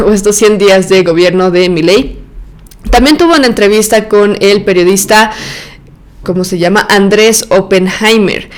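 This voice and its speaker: A woman with Argentine and Bolivian roots hosts her own podcast, talking through her views in a loose, chatty way full of fillers and restarts. Her voice is smooth and clear, sitting at an ordinary pitch. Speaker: podcast host. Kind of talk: solo podcast commentary.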